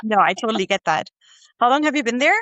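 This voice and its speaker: A woman's voice: a little high, animated, quick pace, fairly bright tone, dry recording, dull audio. A woman, hosting a podcast and chatting with a guest, rambling and laughing often. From Germany. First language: German. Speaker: podcast host. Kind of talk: casual interview chat.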